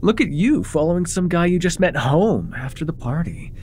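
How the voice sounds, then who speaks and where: A man's voice: fairly high pitch, animated, moderate pace, a little mellow, slight background noise, lightly acted. A man playing an eager, awkward young vampire in an intimate ASMR roleplay, rambling nervously and stumbling over his words. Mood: chatty, playful. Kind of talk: intimate ASMR roleplay, one-sided conversation.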